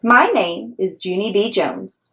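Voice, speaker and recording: A woman voicing a chatty, excitable six-year-old girl telling her storybook tale in an emphatic, blunt, childlike way. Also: mid-range voice, measured pace. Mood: excited, proud. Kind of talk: children's storybook read-aloud.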